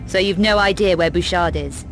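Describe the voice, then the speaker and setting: A woman's voice: lowish voice, normal speed, faint music behind, lightly acted. A woman playing a tough, self-assured adventurer in a video game, with a terse, dry, unflappable delivery. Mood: dry, businesslike. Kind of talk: video game cutscene acting.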